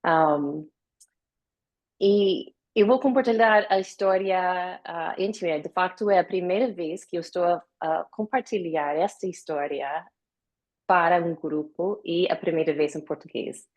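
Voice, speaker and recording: A woman from the United States gives a live online talk in a language she learned later, pausing often with many fillers. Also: fairly low pitch, darkish tone. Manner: hesitant, with many fillers and pauses. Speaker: guest speaker. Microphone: video call.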